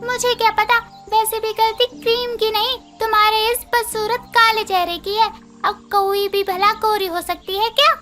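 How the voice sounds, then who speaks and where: A woman's voice: very high voice, little pitch movement, moderate pace, bright, forceful tone, soft background music. A woman voicing a small, assertive female bird who runs a shop in a children's cartoon, speaking emphatically. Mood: haughty.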